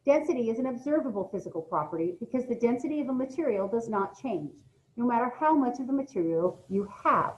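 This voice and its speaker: A woman's voice: on the high side, lively intonation, quick pace, slight background noise. A woman reading a lesson aloud as a schoolteacher, in an instructional, emphatic way, often putting questions to her young listeners. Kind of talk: read-aloud science lesson with asides to children. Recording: dull, lo-fi audio.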